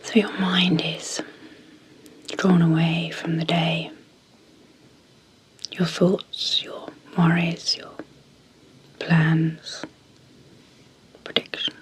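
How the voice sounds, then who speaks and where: Very slow, hushed woman doing ASMR. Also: fairly low pitch, little pitch movement, rough recording. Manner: hushed, gentle, deliberate with long pauses. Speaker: ASMR host and clinical psychologist. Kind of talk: soft-spoken ASMR sleep hypnosis.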